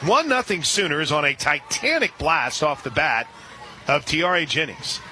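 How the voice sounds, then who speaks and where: Man, radio sports announcer, play-by-play, fairly high voice, outdoor ballpark noise behind. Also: moderate pace, some chatter behind. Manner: calm steady call, surging loud and high on big plays. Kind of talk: live softball radio commentary.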